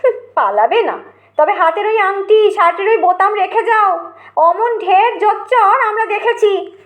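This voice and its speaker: A woman's voice: very high-pitched, expressive, a little slow, fairly bright tone, reverberant, voice pushed up, exaggerated voice. A woman voicing an angry, threatening male shopkeeper who sneers and accuses in a comic audio story. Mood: angry, sneering. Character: angry threatening male food-shop keepers.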